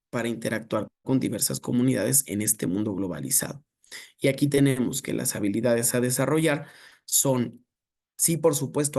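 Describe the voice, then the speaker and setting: A man giving an academic lecture, speaking in a measured, reflective way with filler pauses. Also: medium pitch, vocal fry, darkish tone.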